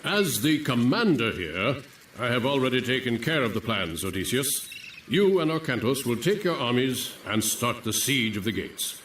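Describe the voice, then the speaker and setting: A pompous warrior king gives hearty commands with lively swings of pitch. The game cutscene audio is echoey and a little distant. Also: normal speed, slight background noise. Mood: imperious. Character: grand, self-assured Greek warrior king and army commander. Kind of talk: dramatic video game cutscene acting.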